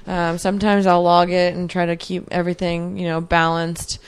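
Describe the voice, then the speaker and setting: A woman's voice: fairly low pitch, completely flat delivery, normal speed, clean tone. A woman in her mid-thirties, a guest answering interview questions in a reflective, earnest way with many fillers. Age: 30s. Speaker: podcast guest, athlete.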